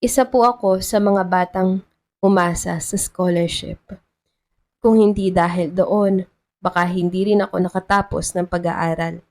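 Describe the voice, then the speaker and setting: A woman storyteller playing a formal, reserved woman town treasurer with a soft heart in a romance story. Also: fairly low pitch, steady tone, measured pace. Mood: sincere, moved.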